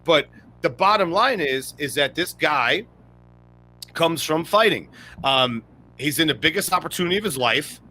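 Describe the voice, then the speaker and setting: Bright, animated male podcast co-host. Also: a little high, soft background music. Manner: animated, laughs often, breaks into quick impressions. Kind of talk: casual sports chat.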